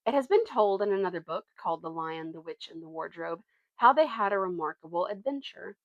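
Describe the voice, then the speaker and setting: A woman reading a children's novel aloud as expressive storytelling narration, now and then correcting a slip. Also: on the low side, brisk, no room sound, dull audio.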